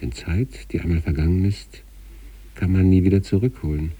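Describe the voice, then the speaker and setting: A man acting an obsessive sanatorium patient in a literary radio monologue, reading out letters to his wife with measured, introspective intensity and a husky, gravelly voice. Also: fairly even intonation, far from the mic. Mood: absorbed, determined. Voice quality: husky, gravelly, dark low voice.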